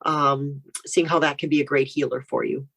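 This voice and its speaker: A woman's voice: low-pitched, level tone, moderate pace, gravelly, a bit bright. An American woman speaking as a guest author, chatting casually and telling anecdotes in an interview.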